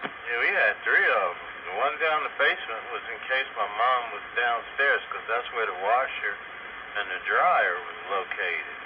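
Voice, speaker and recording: A man's voice: lowish voice, lively intonation, moderate pace. An older man telling stories in a casual, folksy way over a two-way radio chat. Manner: folksy, often says "man". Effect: radio transmission. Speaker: amateur radio operator in a group chat. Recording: muffled noisy two-way radio transmission.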